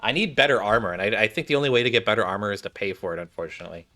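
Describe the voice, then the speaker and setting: A man's voice: fairly low pitch, lively intonation, racing, pushed and bright. A man giving casual, off-the-cuff commentary on a game, rambling and thinking aloud as he plays. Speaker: gaming video creator.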